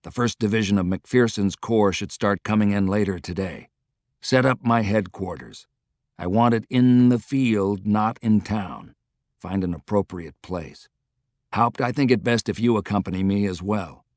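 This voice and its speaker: A man voicing a quiet, decisive general in an audiobook, clipped, understated and commanding. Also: on the low side, measured pace, dry recording, studio quality, lightly acted. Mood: brisk, commanding. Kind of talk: audiobook character dialogue.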